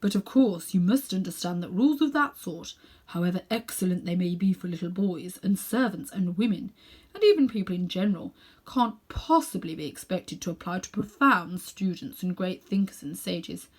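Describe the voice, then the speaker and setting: Woman acting middle-aged gentleman magician, pompous, condescending, sinister, self-important, drawn-out phrasing, storybook villain. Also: lowish voice, lively intonation, husky. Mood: pompous, lofty. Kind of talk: children's storybook character reading.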